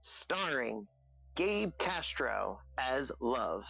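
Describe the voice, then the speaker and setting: A woman's voice: rumbling low, very animated, extremely slow, creaky, a bit bright, slightly rough, lo-fi sound, faint music behind. A woman reading out cast credits as a scripted announcement.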